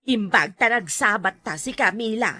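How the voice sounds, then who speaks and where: A woman's voice: a little high, normal speed, pushed and bright. A woman with an Ilocano accent playing an angry, threatening village matron in an amateur comedy drama. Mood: accusing.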